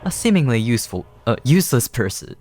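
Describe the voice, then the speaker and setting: A man voicing a lofty, coolly amused creator-god creature in a video game, with measured, pompous delivery. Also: mid-range voice, big pitch swings, darkish tone, slight background noise, natural voice. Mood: solemn, dismissive. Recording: game music behind the voice.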